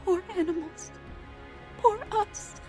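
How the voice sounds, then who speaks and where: An actress playing a traumatised, childlike orphaned elf in a video game, rambling fearfully and repeating herself. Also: female voice, extremely high pitch, takes it slowly, warm tone, faint music behind. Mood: haunted, fearful. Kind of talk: video game drama acting.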